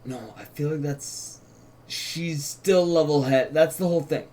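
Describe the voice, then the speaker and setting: A man co-hosting a script reading, discussing it casually in halting, tentative phrases. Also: on the high side, dark tone, recorded from a distance. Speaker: co-writer and host.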